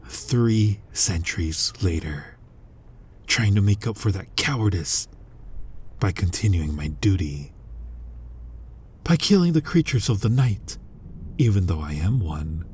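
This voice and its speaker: Man playing a very husky, deep, brooding vampire hunter. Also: darkish tone, full character voice. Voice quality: husky, breathy low voice. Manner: slow, brooding, halting, often dropping toward a whisper. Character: guilt-ridden, centuries-old male vampire who hunts vampires. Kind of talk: dramatic ASMR roleplay monologue.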